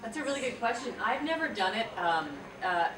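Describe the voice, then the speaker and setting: A woman's voice: on the high side, expressive, a little fast, a crowd in the background. A woman voice actress talking casually on a convention panel, chatty and full of restarts. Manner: chatty, with frequent restarts and fillers. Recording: echoey hall, distant mic, noisy.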